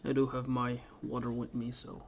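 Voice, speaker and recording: A young man talks casually over a game at home into a desk mic, and he rambles and hesitates with plenty of fillers. His throat is irritated, so he keeps clearing it. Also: medium pitch, monotone, normal speed, a little hiss or hum. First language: Dutch. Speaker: gaming YouTuber. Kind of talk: casual let's play commentary. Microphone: desk microphone.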